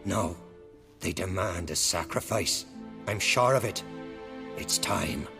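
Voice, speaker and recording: An actor playing a troubled, stubborn island chieftain in a video game. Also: male voice, low-pitched, creaky, over music, heavily acted. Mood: curt. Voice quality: slow, heavy, curt.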